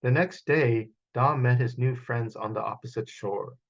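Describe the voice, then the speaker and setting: A man reading a children's picture book aloud as a storyteller, in an expressive manner, over a video call. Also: medium pitch, unhurried, creaky, dull audio. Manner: expressive, acts out sound effects.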